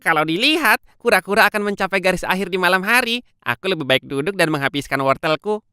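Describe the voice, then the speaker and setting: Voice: medium pitch, lively intonation, fast, sharp tone, soft background music. An actor voicing a boastful, smug hare in a children's cartoon fable, with a swaggering, mocking manner. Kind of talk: children's animated fable dialogue.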